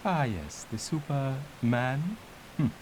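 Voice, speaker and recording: An actor playing a stern, condescending older archaeologist with a German accent, giving measured instructions in a video game. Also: male voice, medium pitch, lively intonation, a little mellow, a little hiss or hum, low-quality recording. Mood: instructive, superior. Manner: formal, measured, superior. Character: stern older male European archaeologist mentor, pedantic and condescending. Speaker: tutorial guide.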